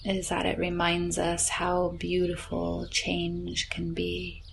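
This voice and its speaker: A woman leads a guided yoga nidra practice very slowly and gently. Her voice is somewhat low and crackles with vocal fry, often softening into breathiness. Faint birdsong and nature ambience sit behind her. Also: completely flat delivery. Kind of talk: slow guided relaxation meditation. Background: chirping birds, nature ambience.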